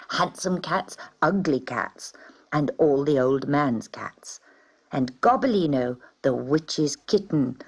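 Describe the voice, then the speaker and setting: A woman reading a children's story aloud in an expressive, dramatic way. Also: lowish voice, creaky, husky.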